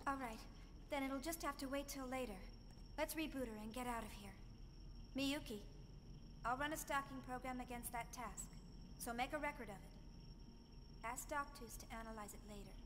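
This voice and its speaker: A woman's voice: high voice, normal speed, long pauses, noisy background, close to an everyday voice. An actress playing a caring young woman engineer who leads her team in a video game. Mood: businesslike. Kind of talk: English video game voice acting.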